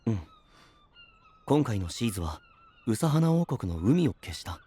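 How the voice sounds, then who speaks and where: Gentle thoughtful anime guy, soft n husky, very expressive. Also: fairly low pitch, normal speed. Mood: reasoning. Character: gentle, encouraging, thoughtful young man. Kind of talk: anime voice drama acting.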